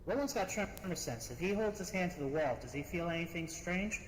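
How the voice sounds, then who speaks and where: A man talking casually as a player in an online role-play, often halting and repeating his phrases. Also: high voice, expressive. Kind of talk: casual in-character tabletop-style role-play. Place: online VRChat voice session. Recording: echoey reverberant audio with background noise.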